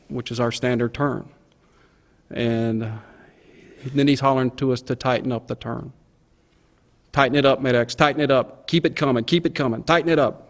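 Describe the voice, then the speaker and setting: A middle-aged man, a pilot, recounting an experience informally in a plain-spoken, vivid way. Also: medium pitch. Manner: plain-spoken, vivid, acts out quoted radio calls. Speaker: airline pilot giving a recollection. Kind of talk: informal spoken recollection of an event.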